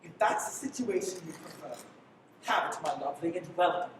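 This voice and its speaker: A woman's voice: lively intonation, fairly quick, natural voice. A young woman playing a brash, sardonic man on the run in a live radio play, bossy and theatrical. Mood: mocking menace. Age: teens. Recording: echoey hall from far off, faint rumble.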